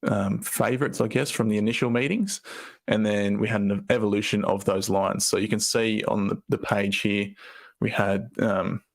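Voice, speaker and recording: A man with a croaky voice, casually presenting a team update with loose, rambling phrasing. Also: lowish voice, fairly even intonation, normal speed. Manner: loose, rambling, with fillers and restarts.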